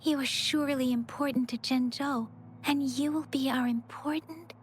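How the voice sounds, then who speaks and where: A woman voicing a gentle, earnest, dutiful young official in a video game, speaking in a measured, polite way. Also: sits high, fairly even intonation, slight background noise, lightly acted.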